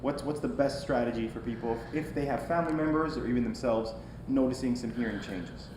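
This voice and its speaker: A man's voice: mid-range voice, fairly quick, darkish tone. A man interviewing a guest, asking casual questions and answering with short encouraging affirmations. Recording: echoey room with noticeable background noise.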